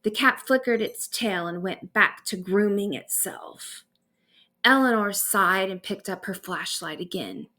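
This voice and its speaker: A woman narrating a bedtime story aloud in an expressive storytelling manner. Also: medium pitch, measured pace. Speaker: author reading own stories aloud.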